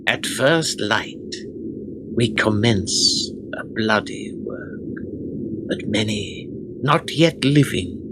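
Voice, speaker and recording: Vengeful young medieval lord played by a male actor, cold and declamatory, very slow with dramatic swings in pitch, radio drama. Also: noisy background, exaggerated voice. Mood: grim, commanding. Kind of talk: archaic theatrical radio play monologue.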